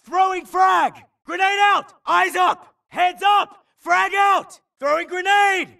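An actor playing a tough, gruff infantry soldier in a video game, calling out clipped, urgent combat callouts. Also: male voice, extremely high pitch, slow, distant mic, full character voice. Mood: urgent, alarmed. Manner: clipped, shouted military callouts. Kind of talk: military battle barks.

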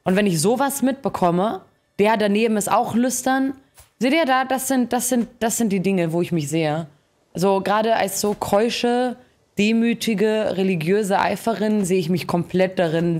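A woman's voice: mid-range voice, moderate pace, a little mellow. A young woman streaming a game, chatting casually in colloquial language with youthful slang. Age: young adult. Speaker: gaming streamer. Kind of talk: casual gameplay commentary and chat. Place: indoor streaming setup. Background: game music.

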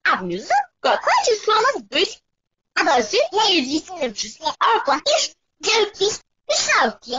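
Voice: extremely high pitch, wildly swooping pitch, unhurried, pushed and bright, dry recording. A teacher character and narrator in a homemade cartoon, giving out homework instructions. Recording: dull lo-fi recording with noise.

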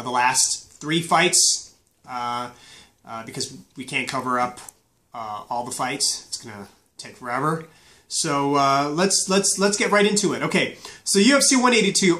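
A man's voice: on the high side, a bit bright. Stuffy-voiced man rambling through a fight review. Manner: rambling, many fillers and restarts. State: stuffy, recovering from flu. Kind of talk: casual fight review vlog. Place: small indoor room.

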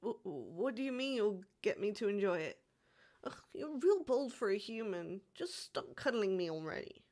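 A man's voice: high-pitched, big pitch swings, moderate pace. A man playing a bashful young catboy demon who feigns toughness, stammering and pouting in an intimate roleplay audio. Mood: sleepy, pouty. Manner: hesitant, stammering, pouty, softly sighing.

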